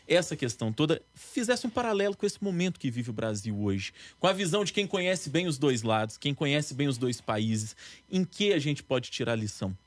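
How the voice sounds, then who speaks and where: A man's voice: fairly high pitch, very animated, fairly quick, no room sound. A man interviewing a guest with polite, formal questions.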